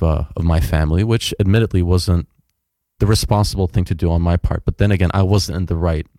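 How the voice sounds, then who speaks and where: Young guy, low mellow voice, dry close mic, rambles with lots of ums and restarts. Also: creaky, no room sound. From United States. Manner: rambling, many fillers and restarts. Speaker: guest. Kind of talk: casual interview storytelling.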